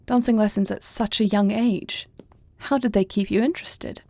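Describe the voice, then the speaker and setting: Woman playing a soft, mellow, creaky-voiced earnest young princess. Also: medium pitch, steady tone, normal speed, dry recording, natural voice. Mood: fond, playful. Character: earnest young princess and warrior heir, anxious yet tender. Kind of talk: intimate audio roleplay acting.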